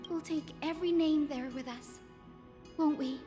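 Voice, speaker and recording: Woman doing a gentle wistful anime game girl, high voice, lyrical lines, music swelling behind. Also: normal speed, close to an everyday voice. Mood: hopeful. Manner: lyrical, storytelling. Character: gentle, wistful young woman, poetic fantasy heroine. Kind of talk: dramatic fantasy game dialogue. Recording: long reverb, loud game music.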